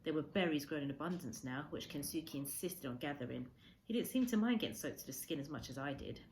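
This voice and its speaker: Woman, low pitch, teacher's story time narration, measured, dramatic emphasis, audible background noise. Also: expressive, darkish tone, low-quality recording. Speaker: teacher reading to pupils. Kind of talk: expressive storybook read-aloud.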